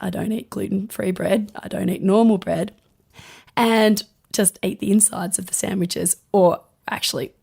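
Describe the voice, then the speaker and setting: A hoarse, croaky woman, chatting on a podcast about her own experiences. She speaks at a middling pitch in a gentle, earnest storytelling manner. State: hoarse from laryngitis. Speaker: podcast co-host, doctor. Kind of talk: casual podcast chat.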